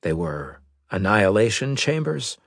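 A man's voice: on the low side, big pitch swings, unhurried, fairly bright tone, dry recording, clean studio sound, pitched above the performer's own voice, full character voice. Man playing a terse, commanding military leader. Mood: probing, serious. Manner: terse, questioning, authoritative. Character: commanding, probing male military leader in science fiction.

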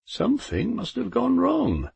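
A man's voice: very high voice, huge pitch swings, a little slow, vocal fry, darkish tone, husky, no echo, voice pushed up, exaggerated voice. A man voicing Death as a nervous, fussy, apologetic clerk of a figure in an audiobook. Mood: flustered. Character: nervous, lightly built male Death with clipboard.

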